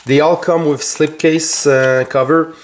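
A man with a French Canadian accent, his first language French, showing his collection in his home basement and talking haltingly with many fillers and self-corrections. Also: a little high, steady tone. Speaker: collector vlogger. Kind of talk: casual collection tour. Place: home basement full of shelves.

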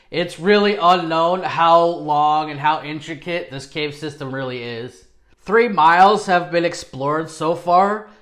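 Casual male video presenter, thirties, slightly high voice, informal and self-deprecating, small home studio. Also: fairly bright tone. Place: small home studio with acoustic foam, indoors.

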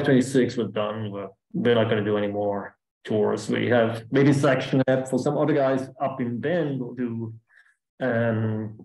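A man's voice: medium pitch, creaky, darkish tone. Guest speaker: man, German accent, easygoing and rambling, roomy echo on a video call. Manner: chatty, rambling with restarts. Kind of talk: casual talk answering questions.

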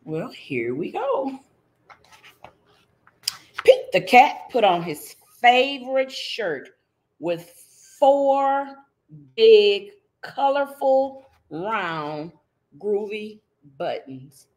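A woman's voice: fairly high pitch, sing-song, extremely slow. A middle-aged woman reading a picture book aloud to young children, teacherly and interactive, checking in with her listeners. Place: indoors in front of a green screen.

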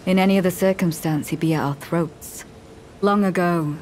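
A low female voice plays a devout knight in a fantasy video game. She speaks in measured, archaic, courteous phrases with a coldly zealous edge. Faint ambience sits behind her. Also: a little mellow, slight background noise. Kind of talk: scripted video game dialogue.

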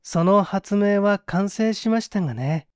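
A scheming male prisoner acted by a man reader speaks in a high, lilting voice. He is courteous and ingratiating, softly coaxing as in an audiobook drama, recorded close and dry. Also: animated, a little slow, clear, resonant tone, dry recording. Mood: slyly eager. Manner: soft-spoken, ingratiating. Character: sly, meek-seeming male prisoner, coaxing and polite.

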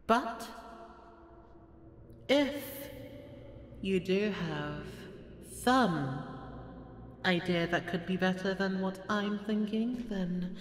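A haughty goddess of destruction played by a woman speaks slowly in a vast, reverberant space. She is imperious and mocking, bored yet playful, with theatrical swings in pitch, as in an audio roleplay. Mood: threatening, imperious. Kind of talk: ASMR audio roleplay acting. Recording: echoey hall reverb, faint background noise.

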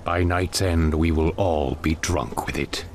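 A man's voice: very deep voice, fairly even intonation, measured pace, a little hiss or hum. A man voicing a brooding, imperious half-demon warlord in a game cutscene, grandiose and commanding. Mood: grave, resolute. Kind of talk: dramatic video game cutscene acting.

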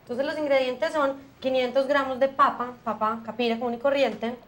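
A young woman hosting a cooking show, explaining each step to viewers in a brisk, friendly way from a small studio kitchen. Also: sits high, steady tone, a little hiss or hum. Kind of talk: friendly televised cooking lesson.

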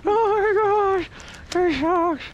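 A man in his thirties hosting a casual vlog, playful and given to sudden high excited shouts, filmed on a handheld action camera. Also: slow pace, highs cut off, a little hiss or hum. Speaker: vlog host. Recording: music playing behind.

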